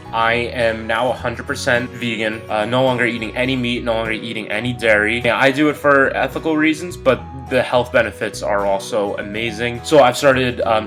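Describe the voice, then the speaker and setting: A man in his early twenties, a little congested from a cold, talking candidly in a relaxed personal vlog with lots of fillers. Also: fairly low pitch. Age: 20s. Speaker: vlogger.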